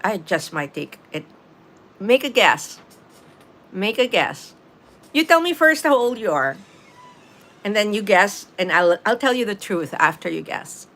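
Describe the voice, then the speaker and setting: A woman's voice: mid-range voice, big pitch swings, measured pace, long pauses, fairly bright tone. A Filipino-accented woman in her late fifties, chatting casually with viewers on a live stream, laughing easily and answering the chat. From Philippines. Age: 50s.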